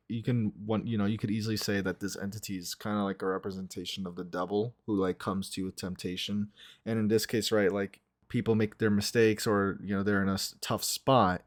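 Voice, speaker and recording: A man giving casual reaction commentary as a video host, thinking aloud as he goes. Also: fairly low pitch. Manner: musing, thinking aloud, frequent "like" and "right".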